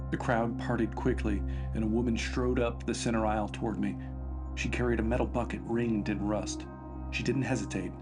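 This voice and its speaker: Low-voiced man narrating horror over music. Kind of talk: scripted first-person horror narration.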